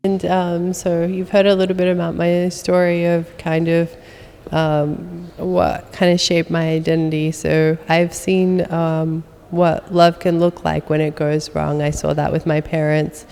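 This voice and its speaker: A woman's voice: on the low side, little pitch movement, unhurried, vocal fry, warm tone, clean tone, in a large hall, faint music behind. A young adult woman guest chatting in an interview, full of ums and uhs. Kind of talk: casual interview conversation.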